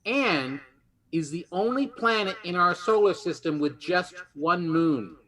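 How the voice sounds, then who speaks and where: A man hosting a lively quiz game, teasing and encouraging the contestants as he goes. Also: high-pitched, unhurried. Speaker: game show host.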